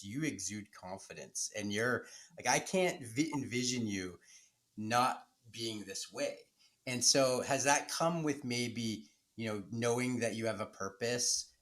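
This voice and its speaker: A man's voice: medium pitch, a bit bright. A man hosting a podcast with a Canadian accent, asking long, friendly, rambling questions full of restarts and fillers. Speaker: podcast host. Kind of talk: conversational interview questions.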